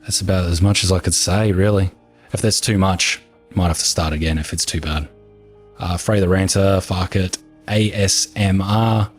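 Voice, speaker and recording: A man talks in a calm, soothing, unhurried way, answering viewer questions. His voice sits very low and sounds husky, a little hoarse from long talking. Soft music plays faintly underneath. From New Zealand. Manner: relaxed, unhurried, matter-of-fact. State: hoarse from talking for over an hour. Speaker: gaming video creator answering viewer questions. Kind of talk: casual Q&A chat.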